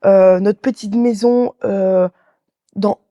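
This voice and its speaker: A woman's voice: medium pitch, steady tone, slow, dark tone. A woman, chatting casually and telling anecdotes as an interview guest, talkative and full of slang and quoted dialogue. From Belgium. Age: 20s. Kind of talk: casual chat, anecdotal storytelling.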